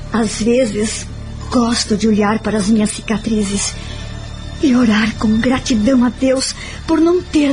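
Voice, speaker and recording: An actress with a Brazilian accent playing a gentle, reflective woman friend in an audio drama, speaking earnestly and explaining. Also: a little high, lightly acted, rough recording. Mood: grateful, reflective. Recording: music behind the voice.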